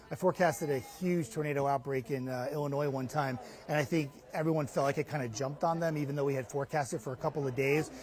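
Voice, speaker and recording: A man's voice: fairly high pitch, a little mellow. A middle-aged man working as a TV meteorologist, explaining conversationally and emphatically in a live interview on a lapel mic. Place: inside a hardware store, live remote.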